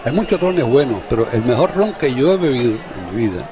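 Male, Cuban accent, mid-pitched, informal table talk that circles back on itself, busy chatter behind. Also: sing-song, fairly quick. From Cuba. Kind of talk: casual chat over drinks. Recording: busy crowd chatter around the voice.

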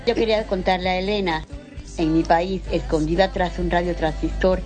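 A woman's voice: lowish voice, steady tone, normal speed. A woman with a Dominican Spanish accent, talking conversationally as she puts questions and shares memories. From Dominican Republic. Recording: music clearly behind.

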